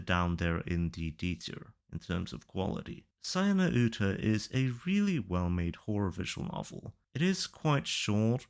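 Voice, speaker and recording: A man giving casual opinion commentary through a ranked list in a matter-of-fact, measured way. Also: lowish voice. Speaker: video reviewer.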